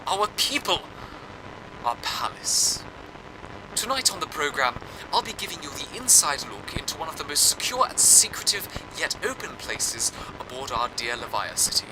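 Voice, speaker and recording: Grandiose genteel radio host played by a man, fairly high voice, lively swooping intonation, florid old-time broadcast delivery. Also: background noise. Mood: grand, dramatic. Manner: florid, dramatic, deliberate phrasing. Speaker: fictional radio show host.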